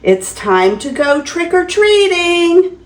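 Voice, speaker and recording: A cheerful little girl from a picture book, voiced by a grandmother reading aloud, calling to her puppy in a high, lilting, sing-song voice. She lingers on every word, very slowly. The sound carries a roomy echo. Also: big pitch swings, heavily acted. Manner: bright, coaxing, sing-song.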